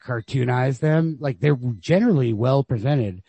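A man with a dark, husky voice talks casually about literature as a podcast co-host. He works out his thoughts aloud, circling and qualifying as he goes. The sound is dry and close, without any room around it. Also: mid-range voice, moderate pace, no echo.

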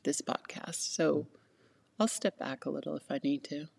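A woman's voice: sits low, fairly even intonation, normal speed, vocal fry, a little mellow, slightly rough. A woman co-hosting a podcast in casual, chatty conversation, lying on the floor of a yoga studio. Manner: chatty, laughs often, fillers. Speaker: podcast co-host.

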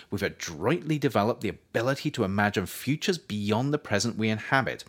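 A man reading his own scripted non-fiction as narrator, with measured, articulate, emphatic phrasing. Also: fairly low pitch. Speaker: author reading own book as audiobook podcast.

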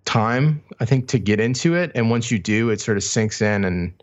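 A man's voice: on the low side, lively intonation, normal speed. A middle-aged man co-hosting a podcast, casually talking music in a tentative, thinking-aloud way on a slightly lo-fi track. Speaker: podcast co-host.

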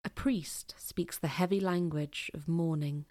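A woman narrating an audio play in a deliberate, poetic storytelling manner. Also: low-pitched, steady tone, dark tone.

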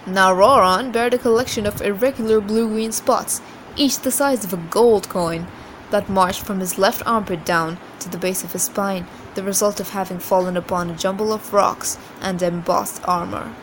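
A woman reads a story aloud expressively, in a mid-pitched voice. The recording is noisy, with an ambient rumble like a vehicle behind her. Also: fairly even intonation, moderate pace. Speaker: reader. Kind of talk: expressive book reading after a casual introduction.

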